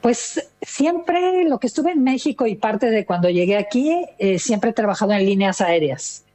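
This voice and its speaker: Older female interview guest, Mexican accent, medium pitch, casual and chatty storyteller. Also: lively intonation, moderate pace, mellow. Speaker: interview guest, retired listener.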